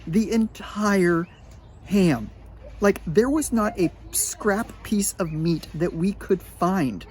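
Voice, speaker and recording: A middle-aged man telling a casual story to his students in a chatty, animated way, with lots of filler words. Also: high-pitched, takes it slowly. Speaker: high school sports medicine teacher.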